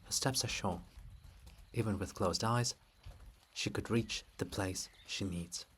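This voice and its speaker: A man narrating a dramatic play reading, delivering scripted stage directions in a measured way. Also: fairly low pitch, a bit bright, a little hiss or hum. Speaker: reader and narrator.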